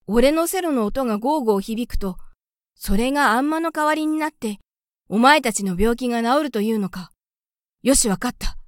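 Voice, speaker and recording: A woman voicing a brusque young male cellist who talks bluntly and roughly. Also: on the high side, expressive, moderate pace, dry recording, studio quality, close to an everyday voice. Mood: gruff surprise.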